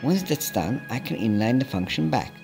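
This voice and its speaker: A man calmly narrating a programming tutorial step by step in a scripted voice-over. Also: medium pitch, moderate pace, gravelly. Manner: calm, methodical, explaining each step. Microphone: voice-over over screen recording. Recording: soft background music.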